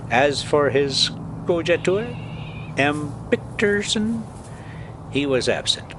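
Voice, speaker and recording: An older man reading a classic adventure story aloud in a dramatic, deliberate way with emphatic pauses and casual delivery of asides. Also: mid-range voice, background noise.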